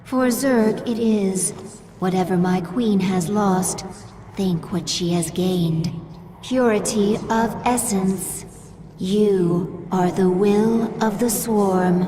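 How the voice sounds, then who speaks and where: An actress playing a loyal, ruthless alien swarm attendant in a video game, speaking in measured, reverent tones. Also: female voice, medium pitch, mellow, slight background noise, lightly acted. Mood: reverent conviction.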